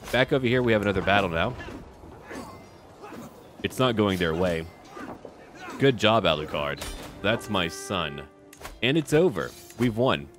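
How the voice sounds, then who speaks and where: A low, deep male voice gives casual commentary over a strategy game, with music playing behind him. He speaks in short clipped remarks, partly to himself and partly to the characters as if he were their ruler. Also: a bit bright. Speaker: gaming video creator narrating his own playthrough.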